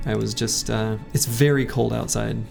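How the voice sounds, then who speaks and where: A man streaming a game, chatting casually with viewers in a rambling way, his voice a little hoarse. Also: on the low side, fairly even intonation, normal speed, darkish tone. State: slightly hoarse. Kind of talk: casual live-stream chat while playing. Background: faint game music.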